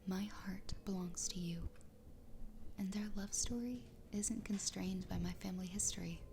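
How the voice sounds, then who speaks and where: A woman voicing an obsessively loving fox girl wife in a soft, breathy, tender ASMR roleplay whisper. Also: medium pitch, noisy background, natural voice. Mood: adoring, devoted. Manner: tender, slow, intimate. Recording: close whisper over background music. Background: music.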